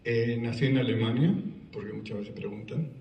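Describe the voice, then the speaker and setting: A man's voice: mid-range voice, fairly even intonation, moderate pace, highs cut off. A man from Argentina with an Argentine Spanish accent, telling personal stories in a conversational way to a live audience and joking with them. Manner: conversational storyteller, jokes with the audience. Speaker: speaker giving a talk. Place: event hall before an audience. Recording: echoey hall, recorded from a distance.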